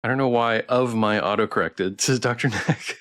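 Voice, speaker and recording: A man's voice: medium pitch, moderate pace, a bit bright. A tired man hosts a casual game livestream in a mid-range voice. He talks to his viewers informally, rambling a little and often restarting or repeating a phrase as he finds his words. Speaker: livestream host playing a visual novel. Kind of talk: casual chat with stream viewers.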